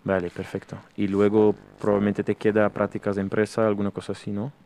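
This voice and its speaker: A young man from Brazil, his first tongue, hosting a relaxed conversation in Spanish and often restarting his sentences. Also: fairly low pitch, fast, vocal fry. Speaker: host. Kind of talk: casual conversation.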